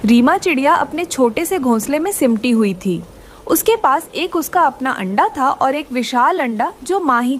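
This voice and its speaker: A woman's voice: sits high, a little hiss or hum. A woman reading scripted moral-story narration for a children's cartoon.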